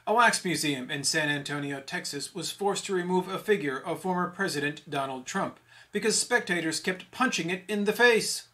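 A man anchors a mock news bulletin in a fairly high voice. He reads from a script in the formal manner of a newsreader. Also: animated, normal speed, pushed and bright.

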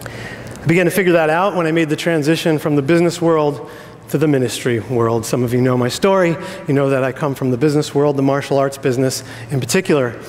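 A man's voice: fairly high pitch, fairly even intonation, quick pace, a little mellow, a little hiss or hum. A middle-aged American man preaching a conversational, informal sermon with joking asides and frequent check-ins with listeners. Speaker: pastor. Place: on stage in a church auditorium. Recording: reverberant hall sound.

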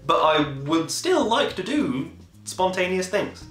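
A man's voice: high voice, very animated, measured pace, fairly bright tone, reverberant. A man in his twenties chatting casually to camera in a rambling, enthusiastic way, swearing now and then. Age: 20s. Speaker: podcast host. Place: small home room, indoors.

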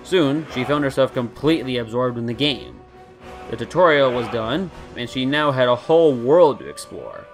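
A man's voice: mid-range voice, animated, normal speed. A man reading scripted story narration as the narrator. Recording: guitar music behind the voice. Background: soft guitar music.

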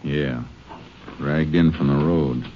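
A muffled old radio western recording with faint noise carries a very deep-voiced Texas Ranger. He is calm and determined, clipped and matter-of-fact as he investigates and narrates. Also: slow pace. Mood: businesslike. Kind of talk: 1950 radio crime western acting and narration.

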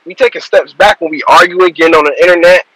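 A man's voice: high-pitched, quick pace, pushed and bright, slightly rough, dry recording, rough recording. A young adult man, a rapper guest on a video call, talking in a loose, rambling, slangy way that trails off mid-sentence. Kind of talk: casual interview talk.

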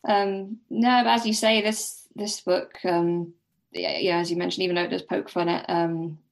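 A woman's voice: lowish voice, normal speed, creaky. A woman speaking as a podcast guest, discussing literature casually in a tentative, self-deprecating way.

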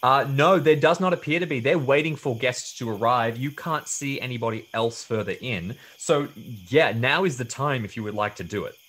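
A young man acts as game master from a room at home, narrating a horror tabletop game. He phrases things haltingly and deliberately, in a mid-pitched voice. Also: a bit bright, husky. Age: young adult. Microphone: video call setup.

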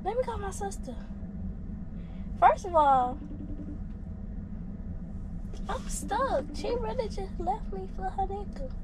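A young woman sitting in a parked car, chatting to the camera and to a friend in a lively, slangy, exclaiming way. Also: extremely high pitch, unhurried. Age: young adult. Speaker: friend left waiting. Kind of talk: casual chat and talking to camera. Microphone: dashboard camera. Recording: noisy car interior.

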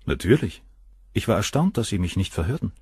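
An actor playing a self-assured, provocative doctor in a crime radio play, with a gravelly voice and a cool, superior, emphatic manner. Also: male voice, on the low side, big pitch swings, brisk, darkish tone, no room sound, studio quality, exaggerated voice. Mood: defiant.

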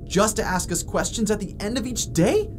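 Man acting a brash, animated male astronaut. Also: high-pitched, moderate pace, noisy background. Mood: argumentative. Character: brash, sarcastic male astronaut, grumbling comms officer. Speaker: communications officer in a space crew. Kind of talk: naturalistic audio drama acting.

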